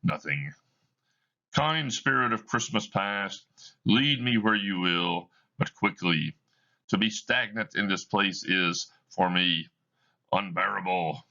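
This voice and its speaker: A man's voice: deep voice, expressive, takes it slowly, sharp tone, lo-fi sound, exaggerated voice. A man reading aloud as a bitter old miser, grieving and full of regret, with a broken, mournful delivery. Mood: pleading. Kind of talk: classroom read-aloud of a play.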